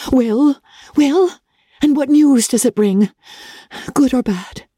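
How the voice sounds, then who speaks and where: A woman voicing an eager, impatient young gentlewoman in a classic novel, with urgent, breathy questions. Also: high voice, takes it slowly, no room sound, studio quality, heavily acted.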